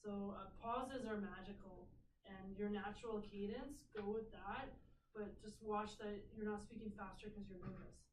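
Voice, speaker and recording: A woman on a speaking panel giving brisk, practical coaching tips. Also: mid-range voice, steady tone, slight background noise. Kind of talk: panel discussion answer. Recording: echoey room, far from mic.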